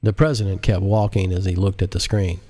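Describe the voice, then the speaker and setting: A man with a fairly low voice reads a novel aloud as its author. He narrates like an audiobook reader and drops into casual remarks for his stream. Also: flat delivery, brisk, mellow. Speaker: author reading own audiobook on live stream.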